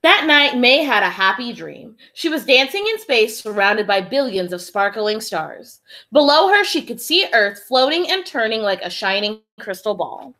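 A young woman reading a children's storybook aloud like a teacher, in an expressive, deliberate storytelling manner. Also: on the high side. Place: small indoor room with classroom decorations. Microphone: webcam or laptop at arm's length.

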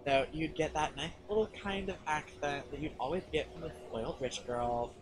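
A young American man livestreaming a game, chatting in an expressive, sweary, teasing way. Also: a little high, normal speed, background noise. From California, USA. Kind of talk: casual banter while streaming a game. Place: indoor room, seated in armchair.

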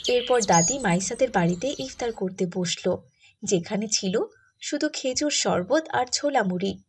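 A woman's voice: mid-range voice, expressive, moderate pace, slight background noise. A woman reading scripted narration for a children's moral story.

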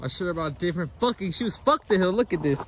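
A man's voice: high-pitched, expressive, quick pace. Slangy young man vlogging outdoors by phone. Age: young adult. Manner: loud, slangy, joking, swearing, mixing in Spanish phrases. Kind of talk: casual hiking vlog. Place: outdoors on a hillside trail and in a car. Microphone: phone held at arm's length. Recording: phone audio with clear background noise.

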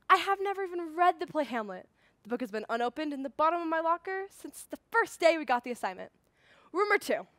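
A woman's voice: fairly high pitch, bright, forceful tone, dry recording. A woman performing a stage monologue as an indignant, bluffing schoolboy, speaking in a pompous, emphatic, theatrical way. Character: indignant, bluffing schoolboy giving an unprepared oral report.

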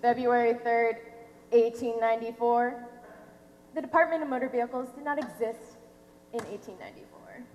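A woman's voice: on the high side, a little mellow, lightly acted. A young woman playing a smug, pedantic, obstructive government clerk in a comic stage play. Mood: condescending. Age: teenager or young adult. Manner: pedantic, drawn-out deliberate delivery. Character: smug, officious, obstructive female DMV clerk. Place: theatre stage, recorded from a distance. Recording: echoey hall, noisy stage recording.

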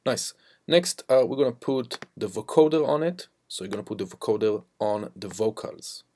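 A man teaching music production, walking through software steps in an informal, instructional way. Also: fairly low pitch, lively intonation, moderate pace. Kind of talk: step-by-step software tutorial. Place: voice-over to a screen recording.

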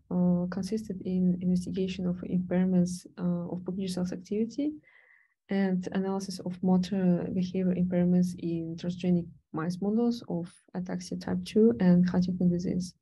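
A young woman whose first language is Russian, presenting her research background in an online talk, pausing often with fillers and restarts. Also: lowish voice, dark tone, husky, low-quality recording. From Latvia. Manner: hesitant, many fillers and restarts. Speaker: PhD candidate presenter.

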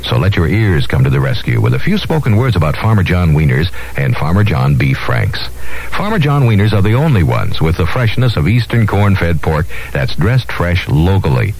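A man reading a scripted 1970s radio commercial as a measured pitchman. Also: very animated, darkish tone, slightly rough, low-quality recording. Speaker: commercial announcer. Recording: vintage AM radio aircheck.

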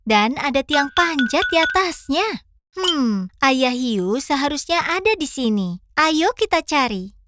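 High, animated little cartoon fox. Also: female voice, takes it slowly, noisy background, exaggerated voice. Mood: curious. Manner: enthusiastic, encouraging. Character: cheerful, curious, friendly little pink fox host. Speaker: host guiding young viewers. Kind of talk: children's cartoon dubbing. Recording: children's music behind.

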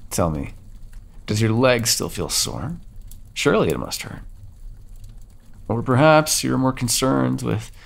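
Cruel toying vampire as a man voices him, gravelly, lively swooping pitch, taunting, ASMR audio roleplay. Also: a little slow, long pauses, heavily acted. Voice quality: low gravelly voice, husky. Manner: taunting, mocking, calls listener dearie. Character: centuries-old male vampire, cruel and toying.

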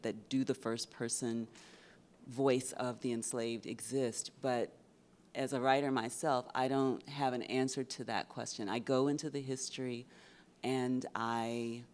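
A woman's voice: very deep voice, a little mellow. A middle-aged woman, a poet on a panel, answering thoughtfully and choosing her words with care and hesitation. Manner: measured, careful, hesitant word choice. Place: large theatre stage before an audience. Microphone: handheld microphone.

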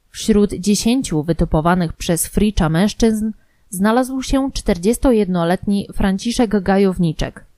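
A woman reading scripted documentary narration in a measured, formal manner. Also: mid-range voice, dark tone, dry recording.

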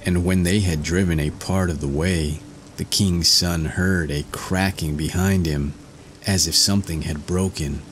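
A man narrating a scripted fairy tale as a storyteller, in a measured, formal manner. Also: deep voice, steady tone, a little hiss or hum.

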